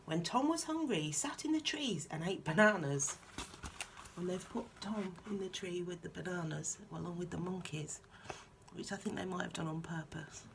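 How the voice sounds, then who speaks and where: A woman reading a children's picture book aloud in a soft-spoken, musing way, with informal, chatty asides. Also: fairly low pitch, normal speed, gravelly, noisy background.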